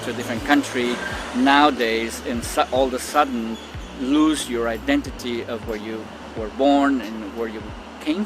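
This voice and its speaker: Reflective middle-aged man from Costa Rica. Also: medium pitch. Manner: thoughtful, with frequent ums and restarts. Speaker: interviewee. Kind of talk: reflective personal testimony.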